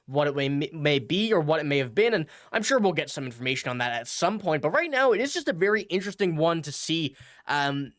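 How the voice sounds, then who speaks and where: A man's voice: a little high, very animated, a little fast, a bit bright. A man with a stuffy, nasal voice from a cold, hosting a casual hockey news commentary and rambling through his points. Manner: rambling, self-correcting, reads quotes aloud. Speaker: hockey news channel host.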